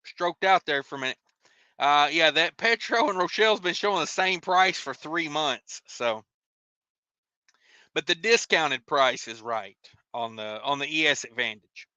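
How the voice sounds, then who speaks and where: A man's voice: fairly high pitch, a little slow, bright, forceful tone, dry recording. An American man hosting a casual livestream, reading out viewer comments and replying in a folksy, rambling, colloquial way. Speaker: livestream host, truck driver.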